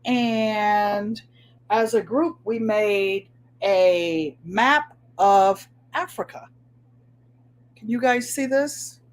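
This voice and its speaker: A middle-aged woman with a New England accent and a nasal voice, hosting a casual live craft stream and chatting to viewers as she shows her work. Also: medium pitch. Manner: chatty, unhurried, talks to viewers by name. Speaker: craft livestream host.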